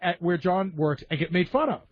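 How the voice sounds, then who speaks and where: A man's voice: sits high, animated, a little fast. A man explaining his opinions bluntly in a casual talk radio discussion. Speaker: radio show staff member and panelist. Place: radio studio. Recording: slightly dull, lo-fi radio audio.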